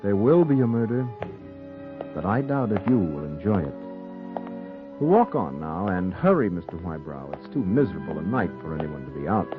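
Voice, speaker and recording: An actor playing a haunted newspaper reporter with a secret killing, in a brooding 1940s noir radio drama. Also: male voice, sits low, sing-song. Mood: brooding, ominous. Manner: brooding, deliberate first-person storytelling. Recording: muffled 1940s radio recording, music behind.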